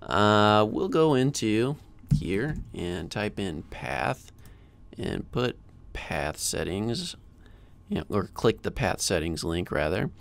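An American man in his thirties hosting a casual software screencast tutorial in an informal, chatty way, close to a desk mic. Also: fairly low pitch, big pitch swings, slow, creaky. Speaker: tutorial host. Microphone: close desk microphone.

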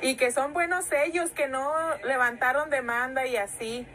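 A woman's voice: high-pitched, steady tone, moderate pace, a bit bright, a little hiss or hum, rough recording. A woman vlogger filming on a residential sidewalk and chatting with a neighbor, agreeing with short replies. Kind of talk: casual chat.

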